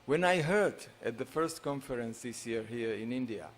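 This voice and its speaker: A middle-aged man with a Slovak accent giving a conference talk, halting and full of pauses, at a stage microphone. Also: mid-range voice, lively intonation. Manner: halting, many filler pauses, non-native phrasing. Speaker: conference speaker. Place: large conference hall on stage.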